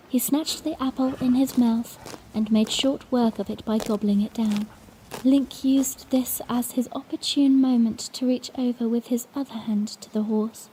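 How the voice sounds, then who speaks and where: A woman's voice: fairly high pitch, mellow, a little hiss or hum, lightly acted, low-quality recording. A woman playing a bashful young princess, telling her own story tenderly and earnestly in a fan-made audio drama.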